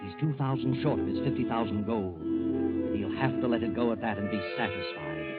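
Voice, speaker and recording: An actor playing an ominous mystery narrator in 1940s radio style, with drawn-out, menacing emphasis. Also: male voice, on the low side, lightly acted. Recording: dull old radio recording, loud music. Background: loud music.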